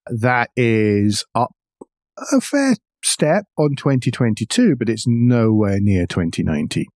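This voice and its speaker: A man hosting a podcast, explaining the news in a measured way with wry asides. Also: medium pitch, sing-song, darkish tone, dry recording, clean studio sound. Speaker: podcast host. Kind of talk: news discussion with commentary.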